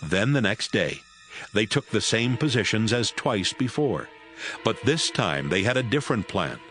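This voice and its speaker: A man's voice: fairly low pitch, expressive, normal speed, a bit bright. Male scripture narrator over music. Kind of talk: scripted audio Bible narration.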